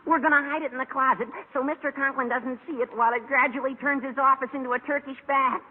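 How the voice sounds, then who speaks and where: A man playing an eager, scheming teenage schoolboy with excitable earnestness in a vintage radio sitcom. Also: very high voice, quick pace. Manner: excitable, gee-whiz earnestness. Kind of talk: 1940s-50s radio sitcom acting. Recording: noisy, tinny vintage radio recording.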